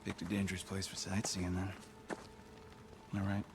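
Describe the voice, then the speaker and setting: An actor playing a menacing, sardonic rebel god in a video game, taunting with drawn-out, deliberate delivery. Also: male voice, low-pitched, completely flat delivery, creaky. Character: menacing, sardonic male god, bitter exiled rebel lord. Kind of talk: dramatic video game acting. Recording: loud music behind the voice.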